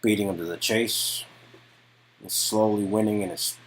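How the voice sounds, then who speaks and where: Weary male detective, acted by a man, deep raspy voice, guarded and halting, story-game dialogue. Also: steady tone, lightly acted, low-quality recording. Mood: grave, intense. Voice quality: low and raspy.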